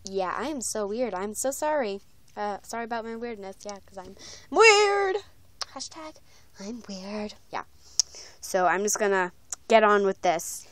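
A woman's voice: medium pitch, expressive, a little slow, fairly bright tone, no room sound. A woman chatting casually in a goofy, self-mocking way as she records an audition video on her phone. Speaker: vlogger auditioning for a voice part. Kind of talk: casual doll-video chat. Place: indoors at home. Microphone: handheld phone, close.

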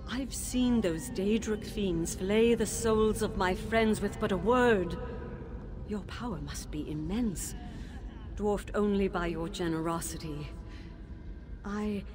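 An actress voicing a grieving ghost sorceress, speaking in a solemn, measured, formal way over game music. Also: female voice, mid-range voice, natural voice. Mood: grateful. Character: grieving ghost wife of a lich, noble and earnest. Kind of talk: video game voice acting. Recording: game audio with loud music. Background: orchestral score.

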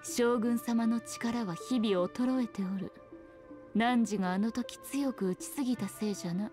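A woman's voice: on the high side, a little slow, mellow, soft background music, natural voice. A woman playing a sly, teasing, ancient fox-spirit shrine maiden in a video game, speaking in an archaic, haughty manner with playful airs. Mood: grave, sly. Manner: archaic haughty Japanese speech, playful mock sympathy. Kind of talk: scripted video game dialogue.

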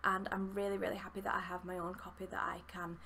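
Yorkshire woman in her twenties, mid-pitched, chatty book vlogger at a webcam, faint room hum. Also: monotone, fast, creaky, bright, forceful tone. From Yorkshire, England. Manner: chatty, enthusiastic, rambling with fillers. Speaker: book reviewer. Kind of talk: casual book review vlog. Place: small room with bookshelves, indoors.

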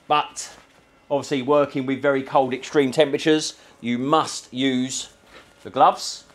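British mechanic presenting over workshop noise. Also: male voice, medium pitch. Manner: matey, colloquial, explaining step by step. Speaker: car mechanic and video presenter. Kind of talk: hands-on how-to demonstration, casual. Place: cluttered car repair garage, indoors. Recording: clear workshop background noise.

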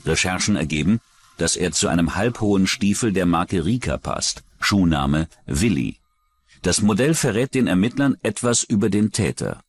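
A man's voice: deep voice, lively intonation, moderate pace, slightly rough. A man narrating a true-crime documentary in a dramatic scripted style.

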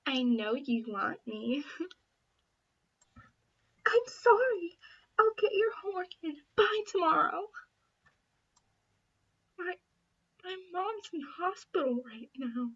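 Casting: amateur female voice actor, high pitch, unhurried audition reads, slightly dull audio. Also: big pitch swings, a bit bright. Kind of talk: acted audition lines in various characters.

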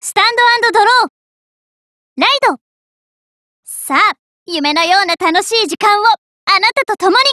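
A woman's voice: very high voice, moderate pace, long pauses, bright, forceful tone, no echo, clean studio sound. A woman voicing a cheerful, earnest teenage girl magician in an anime card game, sweet and eager. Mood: theatrical, dreamy. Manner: sweet, eager, emphatic called-out phrases.

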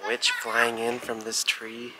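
A man's voice: medium pitch, monotone, a little slow, noisy background. A man chatting casually as he films outdoors at a campsite with a handheld camera. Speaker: vlogger filming. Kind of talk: casual vlog chat. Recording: camera audio under loud music.

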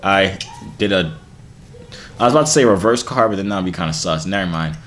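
A young man doing deadpan, playful trash talk in a prank video chat, filmed on a webcam in a small room. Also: low voice, a little fast, slight background noise. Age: young adult. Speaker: YouTuber on video chat app.

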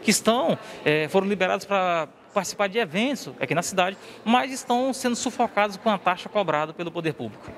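Brisk Brazilian reporter asking questions. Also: male voice, high-pitched, expressive, fairly bright tone, a little hiss or hum. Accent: Brazilian Portuguese. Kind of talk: news interview questions. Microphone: handheld mic.

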